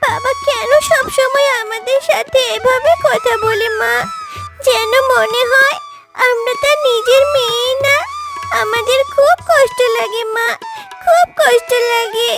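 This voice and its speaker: A woman's voice: very high voice, a little slow, full character voice. A woman voicing a timid little fox cub daughter in a children's cartoon, pleading in a childlike way. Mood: hurt. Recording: loud background music over voice.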